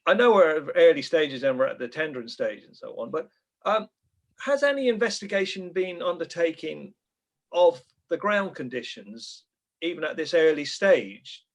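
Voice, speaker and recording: A British man putting an unscripted question as a committee member, with halting, tentative phrasing. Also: a little high. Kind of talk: formal meeting question, unscripted.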